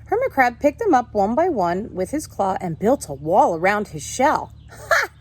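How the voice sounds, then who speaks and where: A woman teacher reading a picture book aloud in an animated way, talking to children. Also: mid-range voice, moderate pace, breathy edge. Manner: animated, addressing children with questions.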